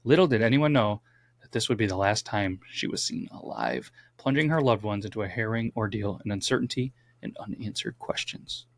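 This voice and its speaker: A man's voice: fairly low pitch, brisk, vocal fry. A man co-hosting a casual true-crime podcast, reading from his notes with stumbles and wry asides. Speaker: podcast co-host.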